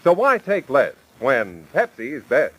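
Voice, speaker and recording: A man's voice: medium pitch, wildly swooping pitch, unhurried, a bit bright, low-quality recording. A man announcing and selling in polished 1940s radio style. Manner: polished salesman delivery.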